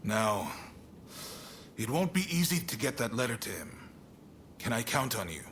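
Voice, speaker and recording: Spirited samurai leader in a game, super animated, earnest, bit of background noise. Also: male voice, medium pitch, normal speed. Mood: serious, hopeful. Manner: informal, earnest, expressive. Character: spirited, shrewd samurai statesman, adult man. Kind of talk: dramatic video game cutscene acting.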